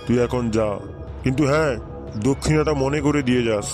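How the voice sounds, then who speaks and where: Man acting as wily guru, comic cartoon, coaxing, repetitive, fee-hungry, clear background music. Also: mid-range voice, brisk, close to an everyday voice. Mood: wheedling. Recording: music under the voice.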